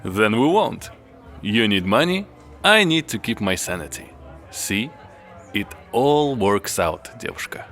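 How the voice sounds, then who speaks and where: A man playing a reserved, solitary young therapist in an audio drama, speaking in a measured, formal, understated way. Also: lowish voice, big pitch swings, fairly bright tone. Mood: calm, direct. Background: music.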